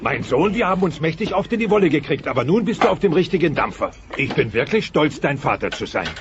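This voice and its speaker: A man's voice: on the high side, very animated, quick pace. A man playing a pompous, hot-tempered dinosaur father in a comic audio drama, on an old cassette recording. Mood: proud. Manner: pompous, blustering, lecturing. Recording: dull, noisy old cassette transfer.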